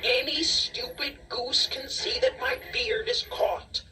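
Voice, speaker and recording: A woman's voice: high voice, slow pace, sharp tone, voice pushed up, heavily acted. A woman voicing an ugly, rude old male dwarf who scolds and insults in a children's storybook. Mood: impatient, scolding. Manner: cranky scolding exclamations and insults. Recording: distant cassette audio with music.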